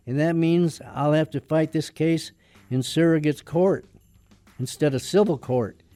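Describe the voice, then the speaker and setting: An actor playing a glum, put-upon lawyer son in a radio drama, downbeat and hesitant. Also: male voice, on the high side, mellow, dry recording, natural voice.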